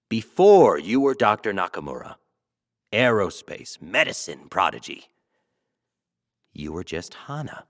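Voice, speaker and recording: A man playing a brash, self-adoring talk show host in an audio drama, showy, flattering and teasing. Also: lowish voice.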